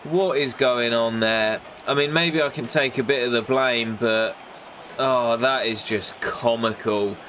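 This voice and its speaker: A young man with a nasal voice from a cold, commentating his football game in a casual play-by-play that jumps up excitedly at big moments. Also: unhurried, a little hiss or hum. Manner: excitable, bursts up in pitch at goals and misses. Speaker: gaming YouTuber commentating his career mode.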